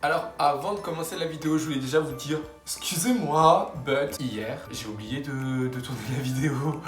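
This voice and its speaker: A man's voice: on the high side, moderate pace, roomy sound, faint music behind. A young man from France vlogging at home in a slangy, rambling, upbeat way. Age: young adult. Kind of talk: casual vlog talk. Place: room at home, building works nearby.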